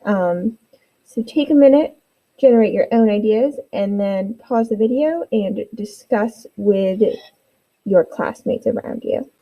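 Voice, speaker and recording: A woman's voice: mid-range voice, a little slow, highs cut off. A woman teacher giving an instructional read-aloud for students, encouraging them with guiding questions.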